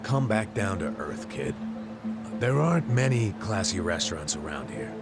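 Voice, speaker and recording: A sly, jaded former detective who needles his younger partner, his voice low and his phrasing swinging up and down with mocking emphasis. Loud game music plays under the cutscene. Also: sing-song, normal speed. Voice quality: wry, teasing. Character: sly cynical middle-aged male ex-detective. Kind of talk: video game cutscene dub.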